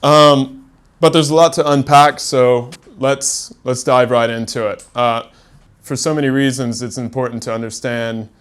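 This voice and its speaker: A man's voice: medium pitch, fairly even intonation, unhurried, a bit bright, smooth. A man hosting an interview in an office, introducing his guest and asking earnest questions built from lists. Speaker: interviewer and ambassador.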